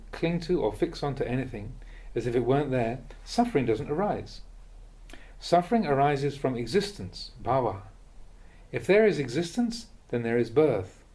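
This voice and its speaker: A man reading a Buddhist teaching aloud as a monk, in a measured, deliberate way with emphasis on key phrases. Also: medium pitch, big pitch swings, mellow. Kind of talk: read-aloud dharma talk.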